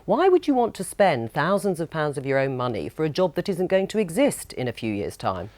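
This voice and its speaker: Female political presenter, low pitch, lively fast probing delivery. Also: no echo. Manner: brisk, probing questioner. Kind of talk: political interview hosting.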